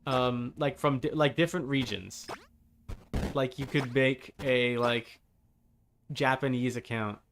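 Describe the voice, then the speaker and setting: A man with a mid-pitched voice talks casually as he streams a game. He rambles in a wry, joking way. Noise and clicking can be heard behind him. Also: fairly even intonation, slow pace, pauses often. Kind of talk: casual live-stream chat while gaming.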